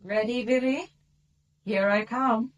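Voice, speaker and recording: An actress playing a bold, self-assured young adventuress in a radio drama, with a playful, provocative manner. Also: female voice, a little high, steady tone, slow. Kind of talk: British radio drama acting.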